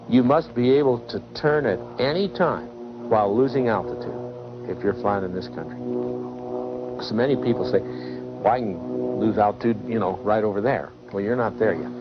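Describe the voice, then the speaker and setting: A man instructing pilots informally, with a blunt, emphatic way of talking. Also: fairly low pitch. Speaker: mountain flying instructor. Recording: muffled 1970s film sound with music.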